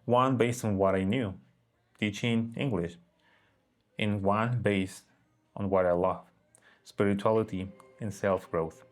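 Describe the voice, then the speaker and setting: A man's voice: fairly low pitch, fairly even intonation, takes it slowly, pauses often. A man narrating a personal story for his video channel, earnest and reflective. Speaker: vlogger. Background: soft guitar music.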